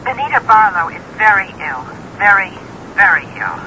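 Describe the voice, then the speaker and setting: An actress playing a businesslike talent manager in an old-time radio drama, speaking deliberately and insistently. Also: female voice, mid-range voice, very animated, bright, forceful tone. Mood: urgent, grave. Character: businesslike female talent manager bearing news. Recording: thin, dull old radio recording, faint noise.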